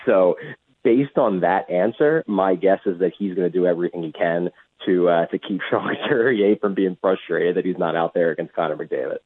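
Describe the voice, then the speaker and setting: A man speaking as a hockey reporter and radio guest, giving measured, conversational sports analysis at length over a phone line. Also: low voice, husky. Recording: muffled tinny phone line.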